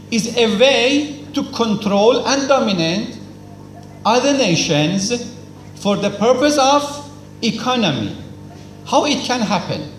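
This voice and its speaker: High-voiced man giving a slow, lively lecture, asks himself questions and answers them, echoey hall, faint noise. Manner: lecturing, asks and answers his own questions, emphatic repetition; non-native English with simple grammar. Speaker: speaker at a cultural event. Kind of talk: formal speech. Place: hall at a celebration.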